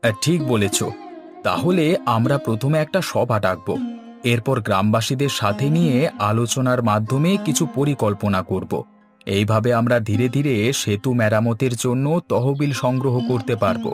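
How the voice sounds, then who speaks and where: A man's voice: medium pitch, moderate pace, faint music behind, natural voice. A man voicing a concerned, earnest village man who explains things in scripted cartoon dialogue. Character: concerned village man urging neighbours to work together.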